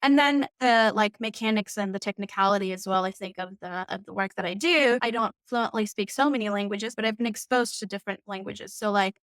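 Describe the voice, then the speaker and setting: A woman from Turkey whose first language is Turkish, a coach guest on a podcast, chatting casually and warmly with many fillers. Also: medium pitch, a little fast, hardly any pauses, dry recording. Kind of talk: casual interview chat.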